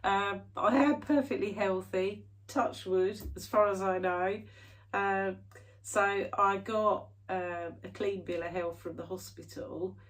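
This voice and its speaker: A woman's voice: mid-range voice, steady tone, slow, recorded from a distance. A British woman chatting casually to her viewers in a rambling way, full of fillers and restarts, her nose a bit stuffy from hay fever. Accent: British English. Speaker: cross-stitch vlogger. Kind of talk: casual chat to camera.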